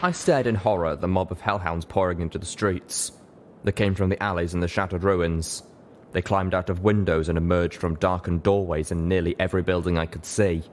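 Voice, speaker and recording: Low-voiced male story narrator. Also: steady tone, fairly quick, a little hiss or hum. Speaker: audiobook narrator. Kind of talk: scripted fan fiction narration.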